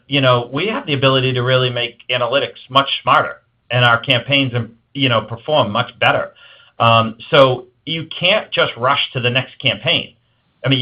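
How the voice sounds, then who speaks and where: Man giving interview answers, muffled lo-fi sound. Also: medium pitch. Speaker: guest marketing executive. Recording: dull lo-fi band-limited audio.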